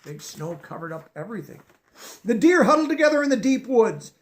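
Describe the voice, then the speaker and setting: A man with a notably high voice reads a children's picture book aloud. He gives the story gentle expression and pauses to explain a word or two for young listeners. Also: very animated, slight background noise. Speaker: storybook reader.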